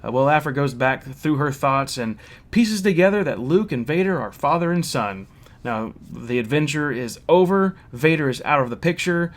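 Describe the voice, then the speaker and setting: A man's voice: fairly high pitch, lively intonation, normal speed. A man giving a casual, opinionated review and story recap, speaking in a blunt, critical way.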